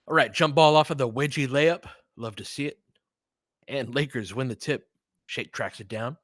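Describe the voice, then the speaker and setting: A man with a bright, forceful voice hosts casual live sports commentary. He talks conversationally, as if straight to his listeners, moving between play-by-play and analysis. The sound is close and dry. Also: mid-range voice, moderate pace, dry recording. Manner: conversational, chatting back to listeners, mildly profane.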